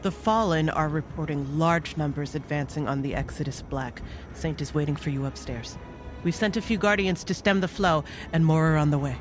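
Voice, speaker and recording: A woman playing a composed, authoritative warlock commander in a sci-fi audio drama, speaking in a measured, commanding way. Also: sits low, music in the background, close to an everyday voice. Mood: calm, firm.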